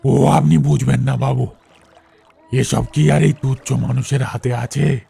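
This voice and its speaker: A man playing an eerie, humble old village boatman ghost in an audio horror story, with a creaky, gravelly voice. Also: mid-range voice, fairly even intonation, unhurried, warm tone, soft background music, exaggerated voice. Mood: humble, cryptic.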